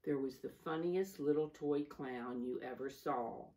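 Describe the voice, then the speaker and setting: A woman reading a storybook aloud to young children as a teacher, deliberate and instructive, stressing key words and adding simple asides. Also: a bass voice, mellow. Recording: voice distant from the microphone.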